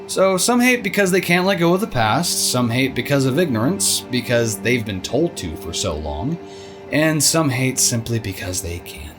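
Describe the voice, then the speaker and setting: A man's voice: mid-range voice, very animated, normal speed, music in the background, natural voice. A man plays an idealistic doctor with a mid-range voice that swings up and down expressively. He is inquisitive and thoughtful, an earnest dreamer in a scripted audio drama. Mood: pensive.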